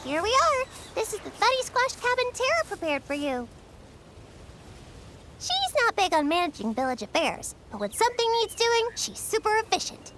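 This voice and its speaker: A woman voicing a small, excitable young village creature in a video game, chatting and telling tales in an animated, earnest way. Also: very high voice, normal speed, slight background noise, exaggerated voice. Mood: cheerful, eager. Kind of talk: video game character dialogue. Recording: game music behind the voice.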